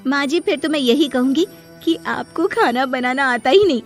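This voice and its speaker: A woman playing a sharp-tongued, defiant young daughter-in-law in a cartoon story, arguing with exaggerated indignation. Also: high voice, expressive, normal speed, soft background music. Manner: argumentative, exaggerated indignant delivery.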